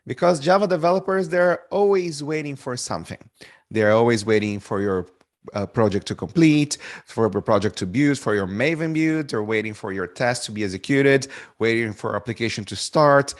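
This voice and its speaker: A man from Brazil, a guest speaker opening a tech webinar talk in an upbeat, joking way. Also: medium pitch. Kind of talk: tech presentation.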